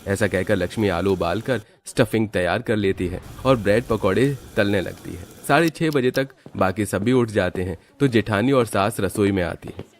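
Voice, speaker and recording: A man's voice: fairly low pitch, normal speed, hardly any pauses, slight background noise. A man narrating a scripted Hindi family story as its storyteller.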